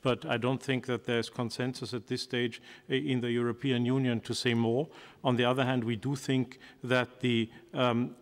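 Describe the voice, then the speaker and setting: A man in his sixties giving a careful, measured answer as a panelist at a desk microphone in a large conference hall. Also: medium pitch, flat delivery. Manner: measured, careful, with frequent pauses and fillers. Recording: long hall reverb.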